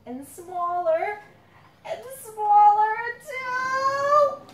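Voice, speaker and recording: A woman with a high voice reads a children's story aloud in an animated, swooping way. She is playful and dramatic, stretching words and putting questions to a young listener. The recording is roomy and distant, with some faint noise around her. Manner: dramatic build-ups with drawn-out words. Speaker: children's author reading her own picture book.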